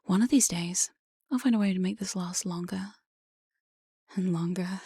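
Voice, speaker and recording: A woman playing a teasing, domineering demon jailer in whispered ASMR roleplay, close on a binaural mic, slow, coaxing and mock-sweet. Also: lowish voice, dark tone, no room sound. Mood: grudging. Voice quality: breathy whisper. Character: teasing, domineering demon mistress of a dungeon jail. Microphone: close binaural studio microphone.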